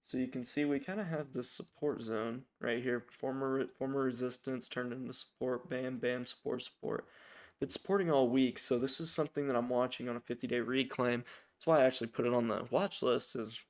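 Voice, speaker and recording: A man hosting a casual, unscripted stock watchlist, raspy from strep throat, informal and hesitant as he thinks aloud. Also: mid-range voice, fairly even intonation, a little fast. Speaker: stock trading channel host.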